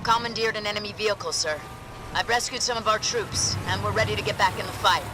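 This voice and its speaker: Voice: medium pitch, fast, noisy background, close to an everyday voice. An actor playing a tough, determined supersoldier in a video game, with a clipped, resolute military delivery. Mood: businesslike. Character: tough, determined supersoldier leading rescued troops. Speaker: soldier.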